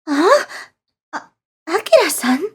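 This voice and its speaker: A woman's voice: very high voice, sing-song, takes it slowly, heavily acted. An actress playing a sweet, timid young woman on the run from a stalker in an anime-like manga drama. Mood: pleasantly surprised. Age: young adult.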